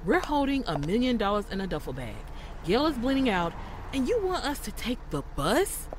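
A woman's voice: a little high, sing-song, moderate pace, a little hiss or hum. An actress playing a practical, sardonic woman in a crew of amateur robbers, in an audio drama. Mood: exasperated.

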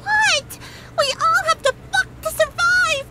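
A woman voicing a bubbly, oblivious young female cartoon pony, excitable and chirpy. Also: very high-pitched, a little slow, heavy background noise, voice pushed up. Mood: cheerful, oblivious.

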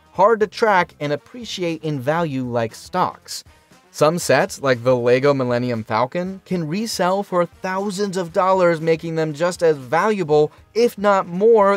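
A man reading a scripted countdown narration with wry, punchy, sarcastic asides. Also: a little high. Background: faint background music.

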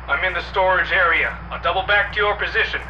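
A man playing a calm, steady soldier leading a patrol over military radio in an audio drama. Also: high voice, fast, muffled recording. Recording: distant, noisy radio transmission.

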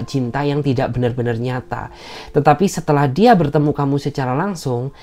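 Woman with a low voice, a tarot reader talking to camera, soothing. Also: darkish tone. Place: indoors at a table.

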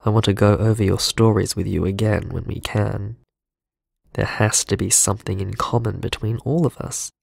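An actor playing a gentle, earnest man, halting and deliberate, confiding shaken in a friend in an audio drama voicemail. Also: fairly low pitch, fairly even intonation, vocal fry, breathy edge, no echo, studio quality, exaggerated voice. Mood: earnest, resolved.

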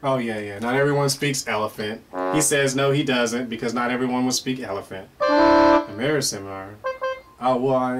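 A middle-aged man hosting a playful question and answer show, reacting aloud to an unseen guest with lots of exclamations and laughs. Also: medium pitch. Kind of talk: animated comedic Q&A chat. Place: indoor room before a pale curtain. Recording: distant mic with loud guitar music behind.

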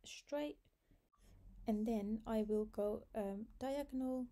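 A woman's voice: on the high side, takes it slowly, warm tone. A woman hosting a home cooking video, chatting through each step in a casual voice-over. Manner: chatty, talks viewers through steps with fillers. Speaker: cooking channel host.

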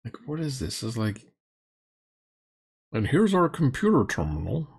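A man's voice: mid-range voice, wildly swooping pitch, unhurried, gravelly, breathy edge. A middle-aged man giving blunt, sarcastic, exasperated commentary in reaction to a roleplaying game. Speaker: critic reacting to a tabletop roleplaying video.

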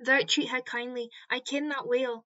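A woman's voice: sits high, flat delivery, normal speed, pushed and bright, highs cut off. A woman playing a doting, kindly old widowed country mother with a broad rustic Scottish accent in a farcical radio play. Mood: surprised, doting.

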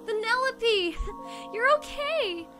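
A woman voicing a young princess pony in an animated drama, emotional and dramatic, weary yet feisty. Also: very high-pitched, slow pace, music in the background, exaggerated voice. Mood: relieved. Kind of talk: animated fan audio drama acting.

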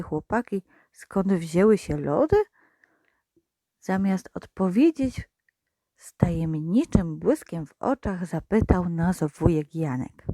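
Slow, soft-toned woman reading a children's story. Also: dry recording. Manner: slow, expressive reading with lively pitch turns. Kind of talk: children's audio story narration.

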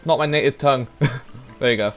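Welsh guy rambling through a game video, relaxed, lots of ums, quiet game music behind. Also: mid-range voice, normal speed. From Wales. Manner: rambling, laid-back, trails off with ums. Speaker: gaming YouTuber. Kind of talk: casual let's play commentary.

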